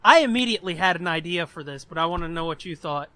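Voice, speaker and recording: A man with a notably high, bright voice talks casually as a podcast host. He is blunt and freely profane, and the recording is dry and close, without room sound. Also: fast, no echo. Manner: profane, blunt, animated explaining. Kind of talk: casual chat.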